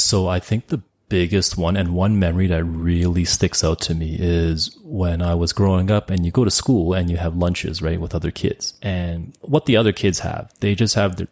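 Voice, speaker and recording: A man, his Cantonese. His voice sits very low and has a gravelly fry. He chats loosely as a guest, repeating words and padding with fillers. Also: fairly even intonation, moderate pace, few pauses. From Canada. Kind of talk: casual interview chat.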